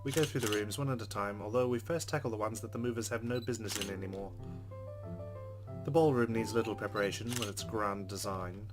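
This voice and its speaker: A man's voice: fairly low pitch, fairly quick, over music. A man reading a visual novel aloud, with wry asides. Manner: wry asides between narration. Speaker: game streamer.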